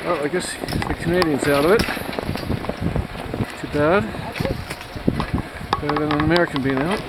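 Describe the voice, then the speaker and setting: A chatty woman holds the camcorder and asks curious questions about the race. Her voice sits close to the mic, with loud outdoor noise all around. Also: low-pitched, fairly even intonation, moderate pace. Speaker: videographer filming the race. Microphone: holding the camcorder.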